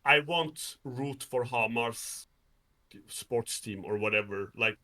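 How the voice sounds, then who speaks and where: Guy from norway just chatting as a guest, bright voice, kinda far from the mic, rambly and self deprecating. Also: mid-range voice, slow pace. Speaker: interview guest, game developer. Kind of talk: casual conversation.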